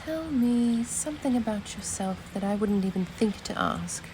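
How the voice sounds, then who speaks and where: A woman voicing a curious, kind but guarded fantasy adventurer in video game dialogue, gentle and deliberate. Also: medium pitch, lively intonation, a little hiss or hum, close to an everyday voice, rough recording. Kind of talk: amateur voice acting of game dialogue.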